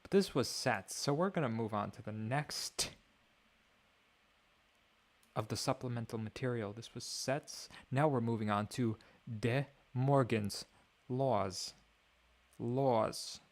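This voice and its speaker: A young man streaming alone, thinking out loud in a wry, mock-grand way. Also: medium pitch, slow pace, long pauses. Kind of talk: rambling livestream monologue.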